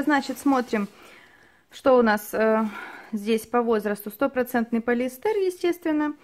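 Woman host, high voice, chatty and detailed home product review. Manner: chatty, thorough, many fillers and diminutives. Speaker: channel host reviewing clothing. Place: indoors at a table, filming only her hands.

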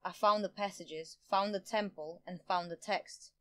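Woman playing a scheming female politician, lowish voice, pompous, self-satisfied, theatrical audio drama villain, dry recording. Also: fairly even intonation, a little slow, pushed and bright, no room sound. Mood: smug, explaining. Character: scheming, haughty woman politician, power-hungry and smug.

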